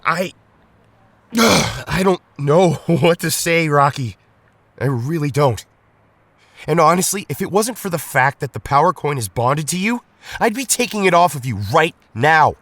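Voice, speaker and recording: A man's voice: fairly high pitch, lively intonation, measured pace, a bit bright, no echo, exaggerated voice. Tommy, a man as a stern, emphatic young hero. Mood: stern, disappointed. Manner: reproachful, emphatic. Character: Tommy, stern young male Power Ranger leader, disappointed friend. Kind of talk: audio drama acting.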